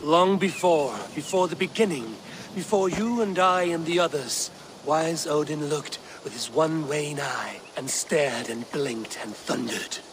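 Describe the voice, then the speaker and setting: A bold Norse raider in a video game, cunning and given to theatrical delivery. The voice sits a little high for a male. Clear environmental noise runs behind it. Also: a little slow. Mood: dramatic storytelling.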